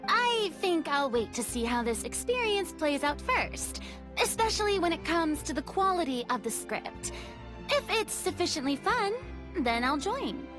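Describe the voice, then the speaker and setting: A woman voicing a spirited young woman in a game, chatty and gently teasing. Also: very high voice, big pitch swings, measured pace, over music, exaggerated voice. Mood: casual. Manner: breezy, teasing, curious. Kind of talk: game cutscene voice acting.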